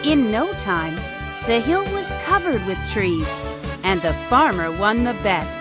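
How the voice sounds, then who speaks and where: A woman narrating a scripted children's fairytale as an expressive storyteller. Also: medium pitch, measured pace. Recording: music bed behind.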